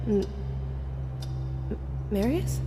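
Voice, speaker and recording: A woman's voice: mid-range voice, lively intonation, extremely slow, big-room sound, over music. A woman acting in an audio drama as a determined, troubled young investigator, earnest and questioning. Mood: uneasy, troubled.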